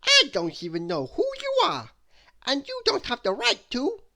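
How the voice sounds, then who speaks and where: A woman's voice: a little high, wildly swooping pitch, a little slow, fairly bright tone, voice pushed up, full character voice. A woman voicing a dazed, confused little man in a storybook, protesting in bewilderment. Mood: bewildered. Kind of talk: storybook character voice.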